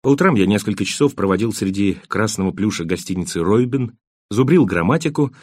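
A man's voice: on the low side, a little mellow, no echo, studio quality. A man reading literary prose as an audiobook narrator, acting out the dialogue in a scripted style.

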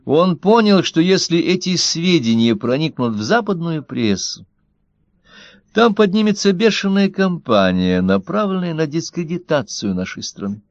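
Expressive male audiobook narrator. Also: a little high, moderate pace, dry recording. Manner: expressive, ironic storytelling. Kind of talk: audiobook reading. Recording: dull, dry lo-fi recording.

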